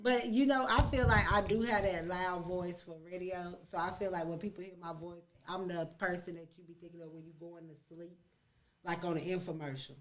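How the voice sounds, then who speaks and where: A woman co-hosting a casual podcast, with a loud, heavy voice and a blunt, teasing way of talking. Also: lowish voice, steady tone, fairly quick. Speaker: podcast co-host. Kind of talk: casual chat.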